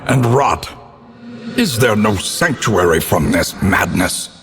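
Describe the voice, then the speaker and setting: An actor playing a grim, solemn narrator of a doomed gothic world in a video game, speaking in a theatrical, ominous, measured way. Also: male voice, on the low side, expressive, fairly bright tone. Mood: grim, foreboding. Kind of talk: dramatic scripted game narration. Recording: long cavernous reverb.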